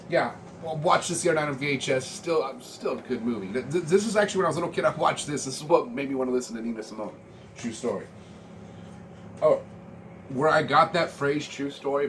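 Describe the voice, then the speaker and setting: An American man in his forties chatting casually to viewers in a laid-back, slangy, meandering way. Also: mid-range voice. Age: 40s. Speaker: vlogger showing a music collection. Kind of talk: casual rambling chat. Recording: clearly noisy room ambience.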